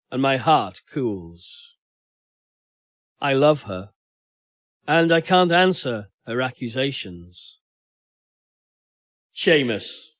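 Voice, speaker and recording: A man reading a literary short story aloud as an audiobook narrator, in a measured, expressive storytelling manner. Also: a little high, no room sound, studio quality.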